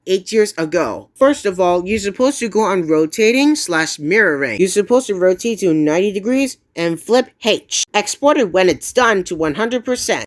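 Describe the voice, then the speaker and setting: A woman narrating a step-by-step software tutorial. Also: lowish voice.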